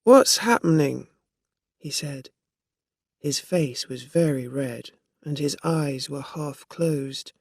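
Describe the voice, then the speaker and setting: A narrator reading a scripted story for English learners in a measured, clearly phrased way. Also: medium pitch, steady tone, a little mellow, no room sound, clean studio sound.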